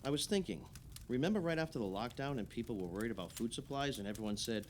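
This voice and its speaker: A man's voice: medium pitch, animated, quick pace, slight background noise, close to an everyday voice. An actor playing an opinionated, idealistic male islander holding forth emphatically in conversational radio play dialogue. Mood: opinionated, insistent.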